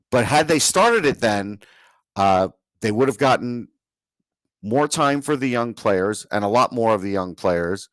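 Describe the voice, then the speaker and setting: Man, low-set voice, dry sound, casual sports analysis, opinionated, emphatic, conversational. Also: no echo. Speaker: sports podcast co-host. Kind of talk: casual hockey analysis chat.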